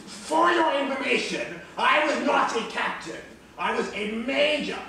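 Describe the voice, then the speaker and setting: Man playing a very high-pitched pompous old major. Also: very animated, a little slow, gravelly, crowd noise, heavily acted. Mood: indignant. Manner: pompous, indignant. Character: indignant, proud old war-veteran major. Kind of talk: amateur stage play acting. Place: theatre stage, indoors. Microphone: distant camera microphone. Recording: echoey theatre stage from far off, faint noise.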